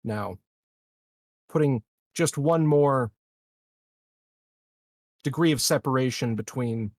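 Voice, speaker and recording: A guarded, calculating male colony leader, played by a man at a game table talks formally and deliberately. The voice is gravelly and recorded very dry. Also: mid-range voice, no room sound. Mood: measured, guarded.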